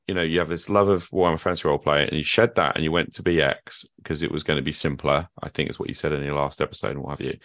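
A man hosting a podcast conversation, thinking out loud in a loose, musing way with plenty of ums. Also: low voice, no echo, studio quality. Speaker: podcast host. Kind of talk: casual conversation.

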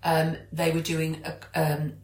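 A woman's voice: deep voice, monotone, measured pace, darkish tone. A British woman co-hosting a podcast, chatting casually and enthusiastically. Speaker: podcast co-host. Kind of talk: casual chat. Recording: echoey room.

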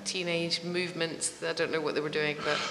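A middle-aged woman with a Scottish accent talks casually as a guest, quick to laugh and slipping into voices for her anecdotes. Her voice is fairly low but bright and forward. It rings in a roomy hall with a long tail and faint ambience behind. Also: level tone, slight background noise. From Scotland. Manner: chatty, laughs often, acts out voices in anecdotes. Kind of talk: casual interview chat.

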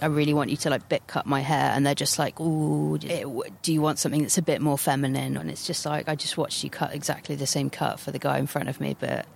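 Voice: medium pitch, gravelly. A young person speaking earnestly and thoughtfully about themselves to an interviewer. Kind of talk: personal account.

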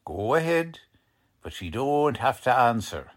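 A man playing a gruff, blunt, streetwise police lieutenant in a 1940s radio crime drama, talking in tough-cop slang. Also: medium pitch, very animated, measured pace. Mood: impatient, gruff.

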